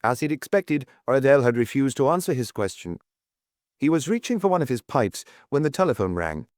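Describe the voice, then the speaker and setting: A man's voice: mid-range voice, sing-song, fairly quick, a little mellow, dry recording, clean studio sound. A man reading a detective novel as a scripted audiobook narration.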